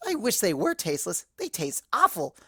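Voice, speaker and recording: Bubbly young cartoon mare telling her own fan story, excitable, very animated delivery female performer. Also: lowish voice, normal speed, breathy edge, no echo, clean studio sound, full character voice. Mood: chatty. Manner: perky, excitable, big swoops. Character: bubbly, hyperactive party-loving young female cartoon pony. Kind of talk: first-person fan fiction narration in character.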